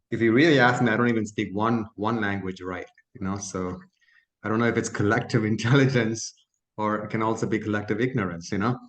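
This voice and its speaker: A man from south India whose first language is Malayalam, joining a video call as a guest. His voice sits fairly low, and he talks in an earnest, reflective way with frequent fillers. The sound carries a noticeable roomy echo. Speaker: guest participant. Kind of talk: casual talk at an online meeting.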